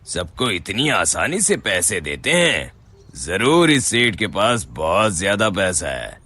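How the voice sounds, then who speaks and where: A man's voice: deep voice, very animated, slow pace, bright, forceful tone, no room sound, exaggerated voice. A man voicing a stammering greedy shop boy. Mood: scheming. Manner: stammers when scared. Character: greedy stammering young male shop worker. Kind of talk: cartoon voice acting.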